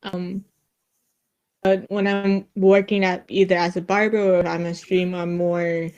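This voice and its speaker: A woman with a slight Vietnamese accent, chatting casually as a streamer on a podcast, halting and restarting often with frequent giggles. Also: on the low side, level tone, darkish tone, smooth, muffled recording. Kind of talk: casual chat.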